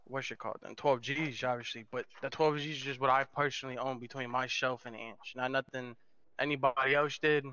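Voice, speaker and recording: Indebted mobster, crime game roleplay, man acting: forceful clear voice, halting explanations, slightly dull lo-fi sound. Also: medium pitch, steady tone, lightly acted. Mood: insistent. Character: indebted mobster explaining who owes what.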